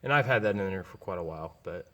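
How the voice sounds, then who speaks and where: A man with a fairly low voice talks through things casually and without a script. His delivery is folksy and rambling, with halting restarts. The sound rings with long reverb, like a big indoor space. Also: lively intonation, bright, forceful tone. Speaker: aircraft owner and pilot. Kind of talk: casual unscripted walkthrough.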